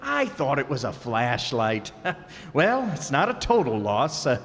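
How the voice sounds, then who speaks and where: A man's voice: medium pitch, wildly swooping pitch, measured pace, fairly bright tone, full character voice. A man playing John, a grumbling, put-upon and exhausted husband, in an old-time radio comedy staged live in a reverberant hall. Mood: flustered. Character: John, a grumpy, exhausted middle-aged husband. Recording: live stage recording with long reverb and faint noise.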